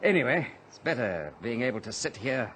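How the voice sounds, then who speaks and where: Guy doing a calm reassuring stranded pilot, old time radio adventure, very lively intonation, bit of background noise. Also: medium pitch, lightly acted. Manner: measured, reasoning, reassuring. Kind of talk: 1940s-50s radio adventure acting. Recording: noisy vintage radio drama recording.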